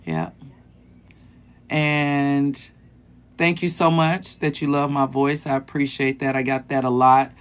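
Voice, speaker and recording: A man's voice: medium pitch, slow pace, pauses often, clean tone. A man in his late fifties who hosts a live stream as a sexologist, chatting casually with viewers in an easygoing, rambling way with lots of ums. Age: 50s. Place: small bedroom closet.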